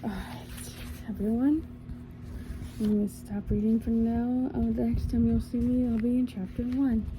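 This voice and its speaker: A young woman hosting an ASMR channel, gently reading a fairy tale aloud in a calm, soft-spoken way. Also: on the high side, normal speed, over music, rough recording. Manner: gentle, calm, with small slips and restarts.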